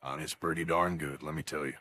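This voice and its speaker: Guy using an ai voice changer to be a rugged cowboy outlaw, super deep, bright edge, dry sound. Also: steady tone, fast talker, dry recording. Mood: relaxed. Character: gruff rugged male cowboy outlaw, real-time AI voice.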